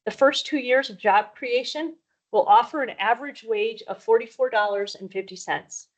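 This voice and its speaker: A woman's voice: fairly high pitch, normal speed, rough recording. A woman representing an economic development group, steadily reading a prepared, formal introduction of a company.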